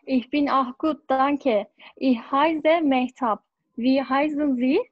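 A woman's voice: sits high, takes it slowly, no echo. A woman in her twenties with a Turkish accent, a student carefully practising dialogue lines in an online class. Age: 20s. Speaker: language student. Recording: dull online call.

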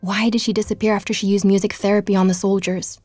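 A woman's voice: medium pitch, level tone, quick pace, darkish tone, soft background music, close to an everyday voice. An actress playing an earnest young woman journalist. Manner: earnest, intrigued, speaking to listeners. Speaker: podcast narrator. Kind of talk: scripted audio drama narration. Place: home bedroom.

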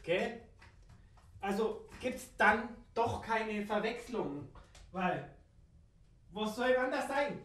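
A woman with a southern German or Austrian accent performing an improvised, absurdist spoken monologue with playful, rambling repetition. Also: mid-range voice. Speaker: radio play performer. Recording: roomy echo, distant microphone.

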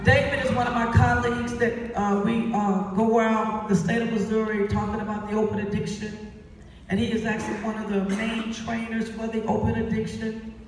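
A woman's voice: a little high, little pitch movement, brisk. A middle-aged woman hosting on a theater stage, giving a live speech to introduce and thank a cast through a handheld microphone. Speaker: stage play director and host. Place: theater stage, indoors, filmed from the audience. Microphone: handheld microphone through PA, picked up by distant camera. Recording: echoey hall from far off, crowd and music.

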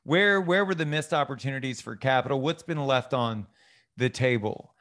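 A man's voice: mid-range voice, moderate pace, fairly bright tone. An American man in his thirties hosting an interview, asking questions conversationally into a close studio mic. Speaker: host, interviewer. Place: indoor home studio. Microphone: studio mic on boom arm, close.

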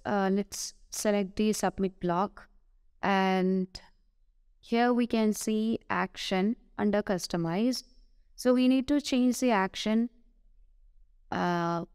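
A woman with an Indian English accent giving a screen-recorded software tutorial, hesitant, with many "uh" fillers and self-corrections. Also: mid-range voice, smooth, no room sound, clean studio sound.

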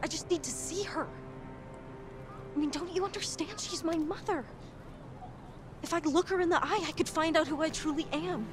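A woman's voice: extremely high pitch, moderate pace, long pauses, over music. A North American woman in her twenties playing a determined, vulnerable fugitive in a cinematic game, with earnest, intense, natural delivery. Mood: determined, pleading. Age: 20s. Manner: earnest, intense, plain-spoken.

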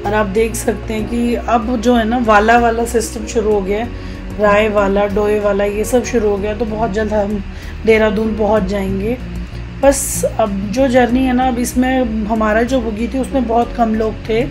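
Chatty high-voiced woman vlogger. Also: level tone, normal speed, over music, low-quality recording. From Uttarakhand, India. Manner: chatty, conversational, addresses viewers directly. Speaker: travel vlogger. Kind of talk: casual vlog narration.

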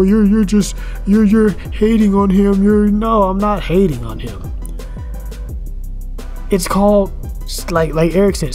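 Ranting man with a high-pitched voice. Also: a little mellow. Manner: ranting, repeats words and "you know what I'm saying". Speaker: fitness vlogger. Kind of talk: casual commentary rant. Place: indoors at home, in front of a camera.

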